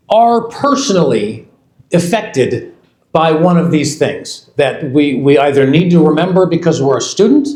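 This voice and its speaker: A man in his fifties speaking to a live audience at an event. His voice sits somewhat high for a man. He talks in a loose, conversational way, repeats words as he restarts phrases, and leans on each word when he runs through a list. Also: darkish tone. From United States. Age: 50s. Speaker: product development executive presenting at a launch event. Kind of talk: product presentation to a live audience. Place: event hall.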